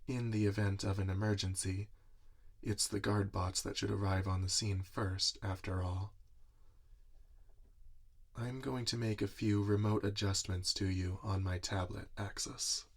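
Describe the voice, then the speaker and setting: A man playing a gentle, earnest monster inventor in an ASMR roleplay, speaking softly in a soothing, deliberate, reassuring way. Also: on the low side, little pitch movement. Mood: focused, musing. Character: gentle, earnest male monster inventor tending his robot creation. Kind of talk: soft-spoken ASMR roleplay. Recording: audible background noise, typing and clicks. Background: computer keyboard typing and clicks.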